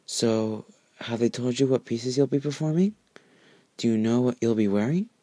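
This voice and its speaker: A man's voice: medium pitch, normal speed, mellow, no room sound, pitched above the performer's own voice, natural voice. Casting: fussy, exasperated mare roommate for storybook reading, done by a man, dry sound. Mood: dry, restrained. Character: prim, exasperated female pony roommate, a candy maker.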